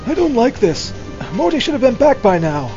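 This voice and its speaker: A cowardly, jittery crook in an audio drama. His extremely high voice swoops nervously and whimpers. Faint music plays behind. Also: sing-song, normal speed, warm tone, highs cut off. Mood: terrified. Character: cowardly, jittery, frightened male street crook.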